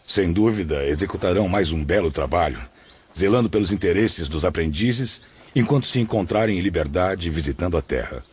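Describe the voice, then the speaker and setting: Actor as earnest spirit instructor, male, low husky voice, formal, deferential, audiobook drama. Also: lively intonation, fairly quick, darkish tone, dull audio, full character voice. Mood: courteous.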